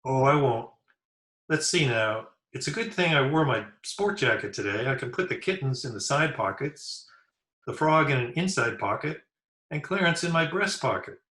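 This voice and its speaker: A man's voice: mid-range voice, lively intonation, normal speed, pushed and bright. A man playing an eager, good-natured, slightly dim teenage schoolboy in an old-time radio comedy, folksy in manner, in an echoey room. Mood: eager, friendly. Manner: folksy, gee-whiz, simple. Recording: echoey room over remote call.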